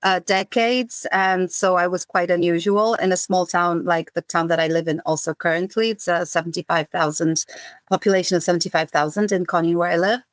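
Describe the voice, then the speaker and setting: A woman's voice: fairly low pitch, fairly even intonation, brisk, no room sound. A woman in her forties, raised in Zambia, thoughtfully answering interview questions about her work. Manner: thoughtful, many fillers and restarts. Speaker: podcast guest, campaign coordinator.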